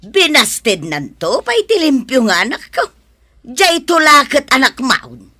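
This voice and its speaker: A woman's voice: high-pitched, very animated, slow, bright, forceful tone, dry recording, heavily acted. A woman playing a disdainful, skeptical mother in a melodramatic audio drama. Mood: scornful, suspicious. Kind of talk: melodramatic Ilocano radio drama acting.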